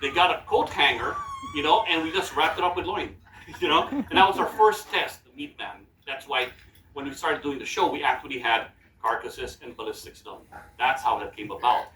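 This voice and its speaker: A man with a Filipino accent tells animated stories to a crowd at a fan Q&A, acting out the lines he quotes and laughing along the way. His voice sits a little high. He is heard from a distance in an echoey hall with noise behind him. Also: normal speed. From Philippines. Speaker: TV show judge at fan Q&A. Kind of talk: storytelling to a live audience.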